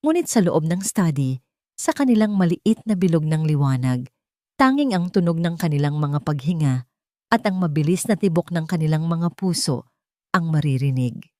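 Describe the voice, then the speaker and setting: Woman, story narrator, scripted dramatic romance reading, lower-leaning pitch, very little room sound. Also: animated, moderate pace, mellow, no room sound, studio quality.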